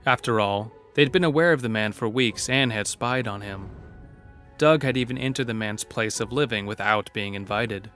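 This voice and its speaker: A man's voice: on the low side, moderate pace, fairly bright tone, soft background music. A man narrating a scripted horror story as the narrator of an audio drama.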